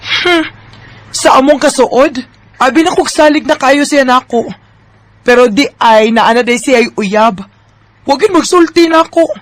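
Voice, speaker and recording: A woman's voice: high-pitched, a little slow, long pauses. A woman playing an emotive, teasing young woman secretly in love with her best friend in a Bisaya radio drama. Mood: hurt musing. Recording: faint background noise.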